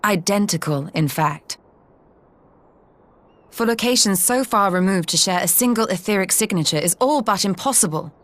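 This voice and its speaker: A woman's voice: mid-range voice, animated, normal speed, a bit bright, no room sound, close to an everyday voice. A woman playing a cool, scholarly sage in a fantasy game, precise, formal and matter-of-fact. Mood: analytical. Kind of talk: scripted fantasy game acting.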